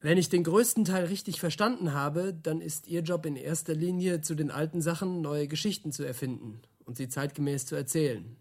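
A man's voice: on the high side, a little fast, hardly any pauses, a little mellow. An actor playing a curious male youth-radio interviewer, talking colloquially and a little hesitantly in a naturalistic radio drama.

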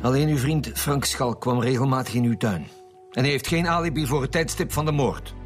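An actor playing a stern, insistent police commissioner in a radio crime drama, speaking with a Flemish accent. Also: male voice, medium pitch, a little fast, lightly acted. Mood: probing. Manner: blunt, insistent. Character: stern, pressing male police commissioner leading interrogations. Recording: dramatic music behind the voice.

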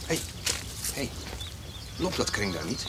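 An actor playing an excitable, plain-spoken farmer who repeats words in bursts in a radio drama. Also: male voice, mid-range voice, slow. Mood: eager, chatty. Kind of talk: naturalistic radio drama acting. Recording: noisy radio play recording.

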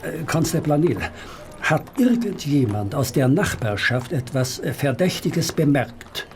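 An actor playing a brusque, commanding Victorian police inspector in a radio play, curt and authoritative. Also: male voice, a little high, expressive, measured pace, slight background noise. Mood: businesslike, grim. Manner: curt, authoritative, hesitates between questions. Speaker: actor in an audio drama. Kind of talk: German radio play acting.

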